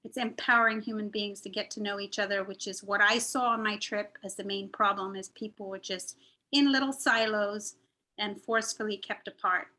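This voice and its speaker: A woman originally from India and raised in the Middle East speaks in a bright, forceful voice. Her delivery is fluent and conversational, an earnest personal testimonial given over a video call. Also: mid-range voice, fairly even intonation, normal speed. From born in India, grew up in the Middle East, moved to the US. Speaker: volunteer speaker at a nonprofit's online celebration.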